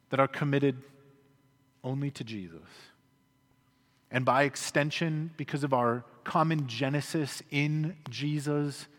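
Earnest man preaching in a reverberant hall. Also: mid-range voice. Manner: earnest, emphatic preaching with dropping endings. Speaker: pastor. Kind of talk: sermon. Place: church sanctuary.

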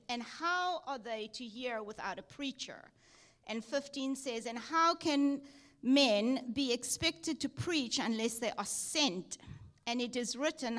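A woman from South Africa with a South African accent touched by Texas, speaking earnestly at church as a missionary guest, with frequent ums. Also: fairly high pitch. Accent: South African, with some Texan. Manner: earnest, with soft laughs and many ums. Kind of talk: church testimony and exhortation.

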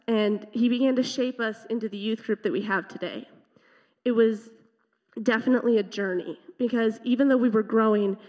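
A woman with a fairly high, loud voice gives an earnest, emotional testimony in a church. The sound is somewhat dull and lo-fi, and her words ring out in a long hall reverb. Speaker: youth leader sharing testimony. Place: church sanctuary.

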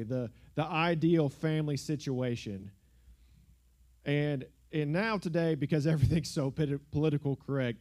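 Young guy preaching, laid back and jokey, mellow warm voice, handheld mic in church. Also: medium pitch. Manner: joking, conversational, uses filler words like "like" and "y'all". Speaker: guest preacher. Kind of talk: casual sermon. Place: church sanctuary. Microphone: handheld microphone.

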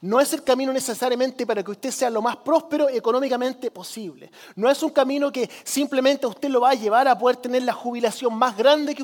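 A man from Chile with a Chilean accent, giving a sermon as a preacher in a conversational manner, repeating phrases for emphasis. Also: extremely high pitch. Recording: long hall reverb.

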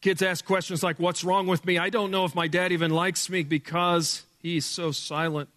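A male pastor with a loud voice preaching a sermon in a church, emphatic, dropping from booming to hushed. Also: little pitch movement, moderate pace, long reverb tail. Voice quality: loud speaking voice. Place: church sanctuary with sound board.

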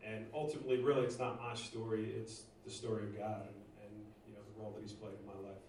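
A man from Northeast Philadelphia giving a halting personal testimony to a church congregation, full of fillers and restarts. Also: on the low side, level tone, darkish tone. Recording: echoey room, distant mic, faint noise.

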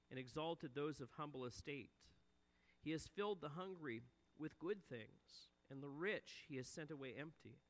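A man in his forties delivers a sermon in an earnest, high and bright voice. He swells for emphasis and then sinks low and soft at the ends of phrases. His voice rings out with a long reverb in a church sanctuary. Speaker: preacher.

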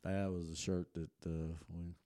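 Older man, german first language, low warm voice, folksy rambling storyteller, dry close sound. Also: level tone, a little slow, no echo. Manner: folksy, rambling with many restarts and chuckles. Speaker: interview guest. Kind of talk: relaxed storytelling.

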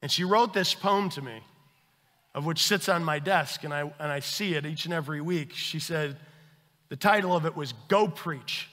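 A man preaching a sermon as pastor, with earnest emphasis that swells and then drops to a hushed tone. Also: a little high, normal speed, big-room sound. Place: church sanctuary.

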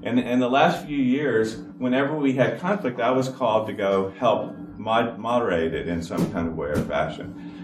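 A man, giving an informal talk to a church congregation in a flat, even-toned delivery. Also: mid-range voice, normal speed. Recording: echoey hall from far off with noise.

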